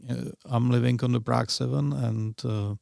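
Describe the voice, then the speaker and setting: A man's voice: medium pitch, fairly even intonation, normal speed, creaky, darkish tone, no echo, studio quality. A middle-aged man with a Czech accent, a podcast guest talking in casual interview chat, hesitant and full of fillers and broken phrasing.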